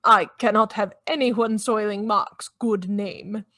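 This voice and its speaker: Haughty old society matriarch. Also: female voice, medium pitch, steady tone, slow pace, bright, forceful tone. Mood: stern, proud. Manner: pompous, disapproving, formal. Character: haughty, judgemental wealthy old Scottish-bred widowed aunt. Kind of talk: radio play acting.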